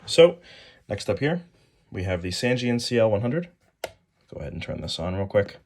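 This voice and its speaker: Very low-voiced man, casual. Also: very animated, moderate pace, darkish tone, slight background noise. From New England, United States. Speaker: hobbyist video host. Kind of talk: casual show-and-tell product rundown.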